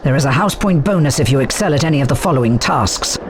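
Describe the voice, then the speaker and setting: A woman voicing a strict, brisk British witch who teaches flying in a video game, crisp and authoritative. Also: deep voice, animated. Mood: instructing. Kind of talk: scripted video game instruction. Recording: noisy game sound behind voice.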